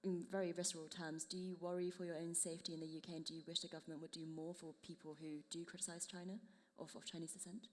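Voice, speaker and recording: A woman's voice: on the low side, flat delivery, fast talker, a little mellow. Woman moderator in a reverberant hall. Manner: conversational, asks long questions. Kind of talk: panel moderation.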